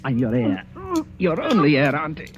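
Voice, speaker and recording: An actor playing a young, greedy nephew with murder in mind, taunting and mock-affectionate, in old-time radio horror style. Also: male voice, a little high, big pitch swings, normal speed. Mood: menacing, gloating. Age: young adult. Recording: muffled vintage radio recording, music behind.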